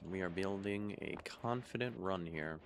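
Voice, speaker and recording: A man's voice: sits low, moderate pace. A young man with a Michigan accent, chatting casually with his stream chat while playing a game. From Michigan, USA. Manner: relaxed, musing, talks to chat between game moves.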